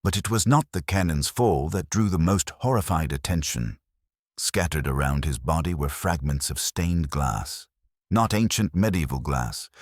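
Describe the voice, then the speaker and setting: A man reading a scripted mystery story as an audiobook narrator, in a measured, literary and dramatic storytelling manner. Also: rumbling low, breathy edge, no echo, clean studio sound.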